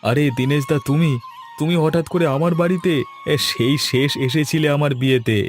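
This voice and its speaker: A man's voice: on the high side, fairly quick, faint music behind, close to an everyday voice. A man voicing a practical, chatty village husband in a cartoon, conversational and exclamatory. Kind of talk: cartoon dialogue.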